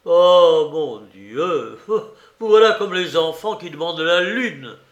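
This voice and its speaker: A man's voice: a little high, slow pace, fairly bright tone. Man playing a mocking, falsely jovial magistrate, roomy sound. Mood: goading, amused. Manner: goading, chuckling, theatrically familiar. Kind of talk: dramatized audiobook reading. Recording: roomy, echoing voice recording.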